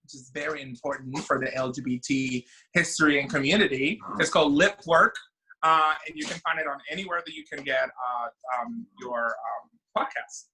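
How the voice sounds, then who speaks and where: A man's voice: mid-range voice, normal speed, sharp tone, poor audio. A man, chatting as a guest in an interview, talkative with many fillers and restarts. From Venezuela. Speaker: drag queen and event producer. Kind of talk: casual interview chat.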